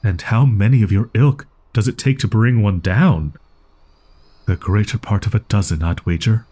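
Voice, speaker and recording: A man's voice: fairly low pitch, moderate pace, dark tone, natural voice. A man voicing a proud, easily flustered young wolf-boy in an audio roleplay, speaking in haughty, archaic, formal phrasing. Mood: indignant disgust.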